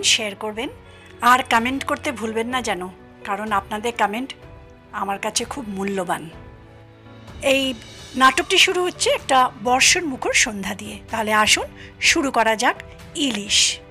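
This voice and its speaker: A woman's voice: on the high side, lively intonation, moderate pace, long pauses, pushed and bright. A woman presenting an audio drama with a scripted introduction addressed to listeners. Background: music.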